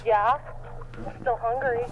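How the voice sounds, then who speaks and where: A woman's voice: a little high, steady tone, takes it slowly. A woman playing a reluctant, unenthusiastic girl with terse replies in a found-footage horror film. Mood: reluctant, weary. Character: hungry, reluctant girl following along through woods. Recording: tinny, narrow-band, noisy camcorder audio.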